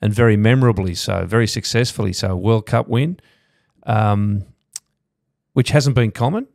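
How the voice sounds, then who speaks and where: A middle-aged male host with a gravelly voice asks long, meandering questions padded with fillers. He is close on a boom-arm studio mic in a dry room. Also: moderate pace, a little mellow, no echo. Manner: rambling questions, many fillers. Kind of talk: podcast interview.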